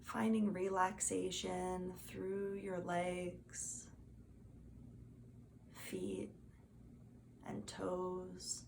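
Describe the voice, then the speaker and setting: A woman gently guiding a meditation as a teacher, in a soothing, soft and unhurried manner. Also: lowish voice, little pitch movement, vocal fry. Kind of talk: guided meditation instruction. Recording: faint background noise.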